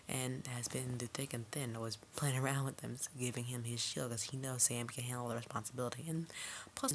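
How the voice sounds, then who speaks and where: Woman, extremely deep voice, informal rambling reviewer, homemade vlog sound with faint-to-clear background noise. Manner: informal, digressive, conversational. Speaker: video reviewer.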